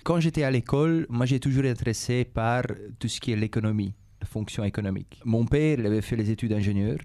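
Man, Belgian, Flemish accent, Dutch native speaker, middle-aged, measured, mellow and warm voice. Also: lowish voice. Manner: measured, repeats himself, frequent "euh" and "et cetera". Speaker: business executive interviewed by schoolchildren. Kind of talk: calm explanatory interview answers.

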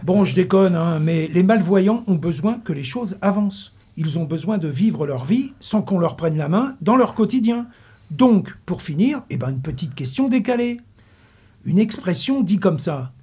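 A man's voice: high voice, sing-song, unhurried, dark tone, breathy edge. A middle-aged man reading a humorous radio column in a studio, full of wordplay and mock-grand asides. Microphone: studio mic.

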